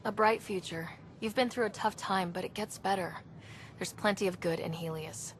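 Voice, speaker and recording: Young woman explorer leader played by a woman, calm and reassuring. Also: medium pitch, moderate pace, a little hiss or hum, lightly acted. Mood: steady. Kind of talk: video game dialogue.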